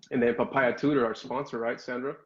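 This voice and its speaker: A man's voice: medium pitch, dull audio. A man hosting a casual live stream, speaking evenly with many fillers. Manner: even-toned, halting with fillers. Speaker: host.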